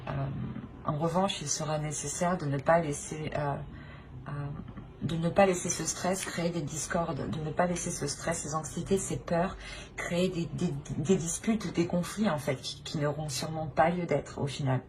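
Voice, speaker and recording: A woman's voice: deep voice, fairly even intonation, normal speed. A woman giving an unscripted tarot reading to viewers, hesitant, repeating phrases and often tagging on a "hein". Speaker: tarot reader hosting her channel. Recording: noticeable background noise.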